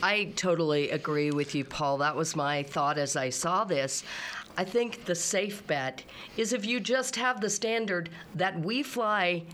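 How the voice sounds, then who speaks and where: A woman hosting a talk show, introducing stories and questioning her guest in a steady, emphatic way. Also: on the low side, measured pace, slight background noise.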